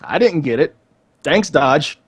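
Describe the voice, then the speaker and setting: A man's voice: on the high side, expressive, measured pace, slightly rough, dry recording. A man co-hosting a casual gaming podcast, giving laid-back, brief conversational replies. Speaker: podcast co-host.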